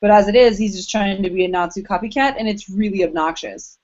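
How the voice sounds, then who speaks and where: A woman's voice: mid-range voice, fast, darkish tone. A woman co-hosting a podcast in casual, playful and teasing banter. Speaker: podcast co-host. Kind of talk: casual banter about anime. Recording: dull lo-fi audio.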